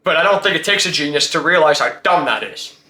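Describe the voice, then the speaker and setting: A man's voice: fairly high pitch, animated, fast, sharp tone, echoey room. A man hosting a casual sports talk show, giving blunt, slangy opinions and firing off rhetorical questions.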